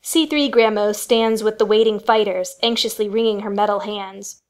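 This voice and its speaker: A woman's voice: mid-range voice, steady tone, moderate pace. A woman reading scripted, dramatic narration for a family radio play.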